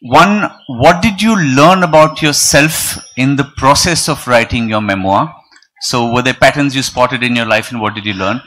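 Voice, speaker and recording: A man's voice: mid-range voice, moderate pace, pushed and bright, a little hiss or hum, rough recording. A man in the audience asking a structured two-part question.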